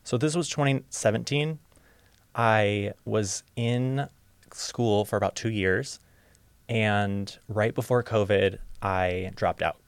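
Reflective young man, deep dry voice. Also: clean tone, no room sound. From Ohio, USA. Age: young adult. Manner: open, reflective, a little halting. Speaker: podcast guest. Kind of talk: casual interview storytelling.

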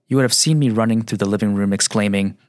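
A man hosting a radio show, narrating in a slow, measured, reflective way; his first language is Mandarin. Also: lowish voice, no echo, clean studio sound.

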